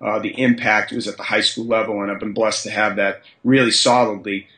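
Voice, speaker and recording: A man's voice: on the low side, flat delivery, a little fast, a bit bright. A man with a mixed Boston and Southern US accent, an interview guest speaking conversationally and humbly. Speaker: high school strength coach.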